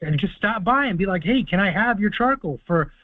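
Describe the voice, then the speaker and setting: Guy hosting a call-in, kinda high voice, tinny phone line, dry, explains a lot. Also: lively intonation, brisk, dry recording. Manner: confident, explaining at length with fillers. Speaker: host of a call-in conference line. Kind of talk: casual call-in advice talk. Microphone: phone or conference call.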